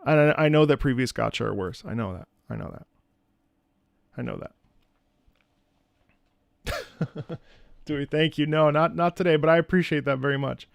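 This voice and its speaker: A man's voice: fairly high pitch, moderate pace, vocal fry, pauses often, dry recording. Man streamer rambling in a dry room. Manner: rambling, opinionated, repeats and restarts phrases. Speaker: streamer and content creator. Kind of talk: casual livestream chat with viewers. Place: indoors at home.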